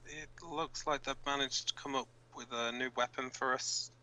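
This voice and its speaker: A man playing a flustered, blunt resistance fighter who co-hosts a radio broadcast in an amateur audio drama, speaking informally and haltingly. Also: medium pitch, fairly even intonation, sharp tone, rough recording. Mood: pleasantly surprised. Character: flustered, sweary young male resistance fighter reading radio bulletins. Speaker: radio co-host in a fiction drama.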